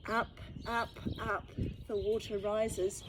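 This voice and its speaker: A woman reading a children's story aloud expressively, with deliberate, dramatic phrasing. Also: mid-range voice, flat delivery, background noise. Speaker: storybook reader.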